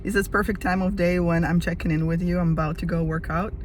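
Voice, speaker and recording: Woman with a slightly rough voice. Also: lowish voice, steady tone, fast, a little hiss or hum. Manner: enthusiastic, chatty, frequent "right?" tag questions. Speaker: project management coach. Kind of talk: casual talk to camera.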